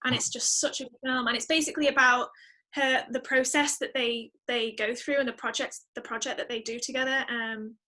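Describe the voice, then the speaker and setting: A woman's voice: on the high side, steady tone, fairly quick, bright, forceful tone. A woman chatting casually as a guest, emphatic and thinking aloud as she goes. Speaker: podcast guest, singer-songwriter. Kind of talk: casual chat.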